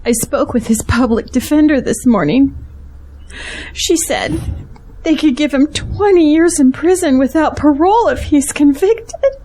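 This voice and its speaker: A woman plays a troubled pastor's wife in an audio drama. Her voice sits fairly high with a mellow, soft-edged tone, carrying worry for her husband and gratitude to friends. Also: lively intonation, a little slow, rough recording. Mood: tearful, anxious. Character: distressed, grateful pastor's wife fearing for her jailed husband.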